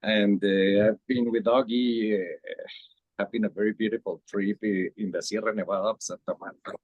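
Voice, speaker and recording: Muffled call recording; Colombian man, Spanish native, lowish raspy voice, introducing himself informally. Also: fairly even intonation, moderate pace, dry recording. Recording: muffled, dry call audio.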